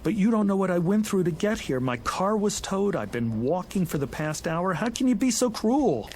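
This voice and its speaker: An actor playing a lovesick, desperate, impetuous suitor, eager and effusive, in a vintage television drama. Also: male voice, high-pitched, sing-song, normal speed, darkish tone, slight background noise. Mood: pleading.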